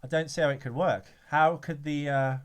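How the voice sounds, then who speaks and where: A man's voice: on the high side, fairly even intonation, normal speed. A man co-hosting a podcast, chatting casually about a film, rambling with restarts and casual swearing. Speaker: podcast co-host.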